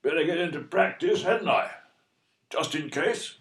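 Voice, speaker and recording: Dry, grumpy older British husband, expressive. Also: male voice, on the high side, moderate pace. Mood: determined. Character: blunt, grumpy older husband wanting his freedom. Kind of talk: audio play dialogue.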